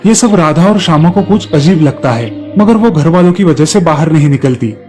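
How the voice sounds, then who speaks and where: A man's voice: fairly high pitch, lively intonation, normal speed, a little mellow. A man narrating a scripted moral story for children as the storyteller. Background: music.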